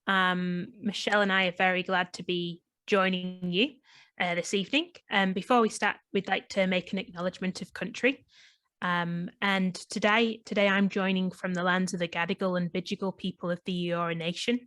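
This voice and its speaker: A woman's voice: fairly low pitch, fairly even intonation, moderate pace, a bit bright, no room sound. Northwest English woman, friendly guest presenter over a video call, dry close sound. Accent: Northwest English, between Manchester and Liverpool, slight Australian twang. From England. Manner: friendly, many ums and restarts. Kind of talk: online presentation. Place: home in lockdown, video call.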